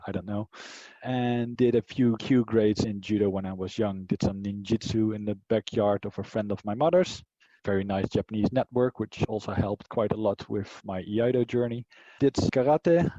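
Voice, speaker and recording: Dutch-accented man in his thirties, interview guest, warm dark tone, dry lo-fi sound. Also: fairly low pitch, no echo. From Netherlands. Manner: thoughtful, with frequent fillers and restarts. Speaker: interview guest, martial arts teacher. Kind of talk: casual conversation.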